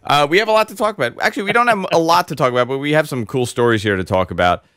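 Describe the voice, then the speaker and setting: Hoarse, congested man hosting a chatty show. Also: on the high side, very fast, no echo, clean studio sound. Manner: rambling, animated storyteller with many fillers. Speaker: host. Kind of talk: casual podcast chat.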